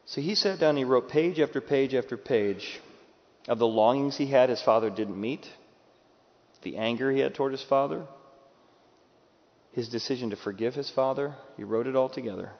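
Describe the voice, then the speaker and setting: A man's voice: medium pitch, moderate pace. Preaching man in a reverberant hall. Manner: dramatic, acts out quoted voices, swings from loud to hushed. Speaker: pastor. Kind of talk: sermon. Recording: dull sound with long hall reverb.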